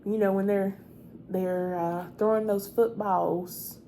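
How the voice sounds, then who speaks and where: Slow, soft-spoken gossipy receptionist played by a woman. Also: mid-range voice, fairly even intonation, slight background noise. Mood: chatty, self-justifying. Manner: confiding, chatty, repeats words. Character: sweet, chatty, gossipy female hotel receptionist. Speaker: ASMR roleplay performer. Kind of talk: soft-spoken ASMR roleplay.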